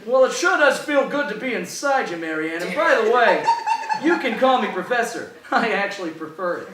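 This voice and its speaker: A man's voice: high voice, sing-song, brisk. A man playing a timid, polite, prim professor in a live parody reading. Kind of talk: live dramatic reading of parody fan fiction. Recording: echoey, distant, loud room noise.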